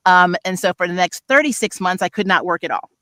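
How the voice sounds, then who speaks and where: A middle-aged woman with a bright, forward voice, recorded close and dry. She talks casually as a voice actor on a livestream panel, candid and informal, poking fun at herself. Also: fairly low pitch, fast talker, dry recording. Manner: candid, self-deprecating humor; informal slangy phrasing.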